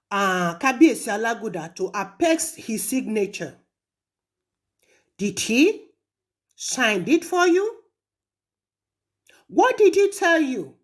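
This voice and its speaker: Slow, animated Nigerian woman, stern and admonishing. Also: high-pitched. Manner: stern, deliberate, rhetorical repetition. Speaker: speaker addressing a traditional ruler in a recorded message. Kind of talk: admonishing recorded audio message.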